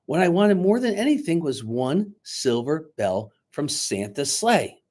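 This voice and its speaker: A man with a slightly high voice reads a story to children with big swings in pitch. He is dramatic and teacherly, often turning to his young listeners to coax them with questions. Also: sing-song, unhurried, a little mellow. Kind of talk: animated picture-book read-aloud with asides to children.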